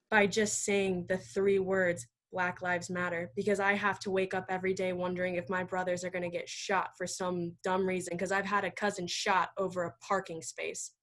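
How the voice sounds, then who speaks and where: An American woman speaking earnestly and emphatically as a panelist in an impassioned discussion over a video call, halting now and then. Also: on the low side, level tone.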